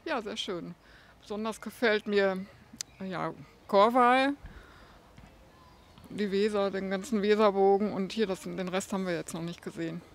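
A woman's voice: mid-range voice, moderate pace, long pauses. Woman visitor giving brief interview answer. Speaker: garden show visitor interviewed. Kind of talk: unscripted street interview.

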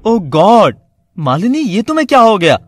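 A man's voice: high-pitched, very animated, normal speed, a little hiss or hum. A man playing a devoted, worried young college student in a cartoon story, earnest and reassuring. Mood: shocked, concerned.

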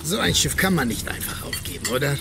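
A man's voice: a little high, sing-song, fast, gravelly, music in the background. An actor playing a wealthy, smug, regal prince in a radio drama, savouring his words theatrically.

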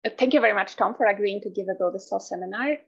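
A woman's voice: medium pitch, racing, gravelly, slightly rough. Woman host on a dull lo-fi call. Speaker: seminar host. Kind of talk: casual introduction on a video call. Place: video call.

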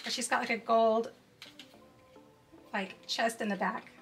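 A woman's voice: a little high, fairly even intonation, a little slow, faint music behind. A young woman hosting a cheerful toy unboxing, gasping and exclaiming over each toy as she opens it. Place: indoors at a tabletop set.

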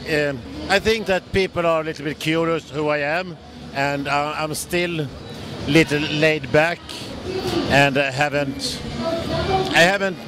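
A man in his forties with a Swedish accent, answering interview questions in halting English with many restarts, speaking into a handheld mic at a busy expo booth. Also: fairly high pitch. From Sweden. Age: 40s. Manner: halting, non-native grammar, frequent restarts. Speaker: bodybuilder guest. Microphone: handheld mic held by interviewer. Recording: noisy trade show floor.

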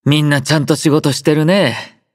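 An actor playing a laid-back young male army doctor in a game, speaking in a relaxed, drowsy, gently teasing way. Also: a little high, normal speed, dry recording, studio quality, lightly acted. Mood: easygoing teasing. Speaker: game character voice lines. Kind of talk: game voice collection. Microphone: close studio mic.

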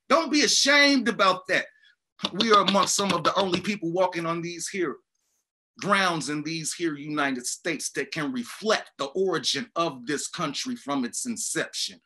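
A man's voice: fairly high pitch, sharp tone. A man in his forties speaking emphatically, with a preacher-like cadence and lots of gesturing, over a video call from a home office. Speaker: academic speaker. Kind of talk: animated spoken remarks.

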